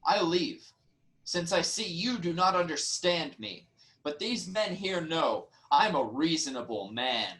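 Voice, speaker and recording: Wronged Theban nobleman, played by an actor, higher male voice, indignant formal declamation, stage tragedy. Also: sing-song, measured pace, a bit bright. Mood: wounded, defiant. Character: aggrieved, reasonable nobleman, brother of the queen. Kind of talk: virtual staged reading of Greek tragedy.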